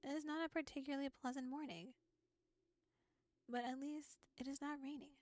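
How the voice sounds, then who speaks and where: A woman's voice: high voice, fairly even intonation, brisk, no room sound. A playful, giggly woman VTuber reading a visual novel aloud and adding casual commentary. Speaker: VTuber streamer.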